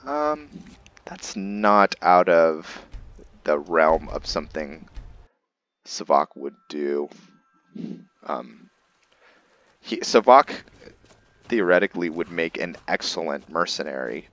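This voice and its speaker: A man with a very low voice talks through a roleplaying game in a casual, hesitant way, thinking aloud about his character. The recording is slightly dull and lo-fi. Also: sing-song, slow pace, pauses often, a bit bright. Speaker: gaming streamer and roleplayer. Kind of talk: casual roleplay gameplay commentary.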